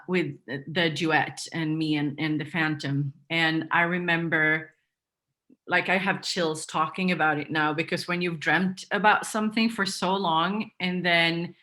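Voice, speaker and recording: A woman's voice: on the low side, pushed and bright. A woman from Sweden, not a native English speaker, chatting as an interview guest with many fillers and restarts. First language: Swedish. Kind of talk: casual interview chat.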